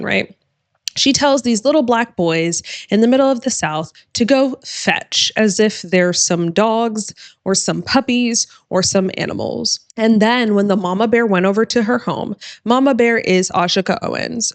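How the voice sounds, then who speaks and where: A woman criminal attorney hosting her channel, her voice going hoarse, talking emphatically and directly to viewers in casual commentary. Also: medium pitch, no room sound. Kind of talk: casual reaction commentary to camera.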